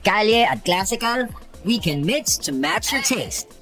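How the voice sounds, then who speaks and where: Woman, advertising jingle announcer, slow delivery, distant sound, prominent music behind. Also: a little high, big pitch swings, vocal fry, fairly bright tone.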